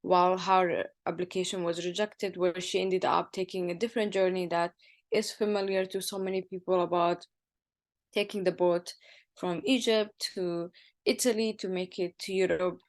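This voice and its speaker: A soft-spoken woman from Somalia speaks on a panel. Her voice is low and fairly flat, and her sentences are broken up with fillers. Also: moderate pace.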